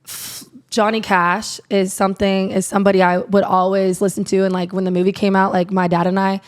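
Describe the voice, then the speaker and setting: Raspy young gal from iowa, just chatting away, says like a lot. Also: female voice, medium pitch, little pitch movement, a little fast. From Iowa, USA. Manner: rambling, lots of "like" fillers. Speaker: guest, country singer. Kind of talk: casual interview chat.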